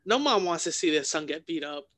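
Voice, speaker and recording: A man's voice: a little high, expressive, fairly quick, crowd noise. A young man hosting a casual interview, informal and agreeable, often affirming what his guest says. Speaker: podcast host, interviewer.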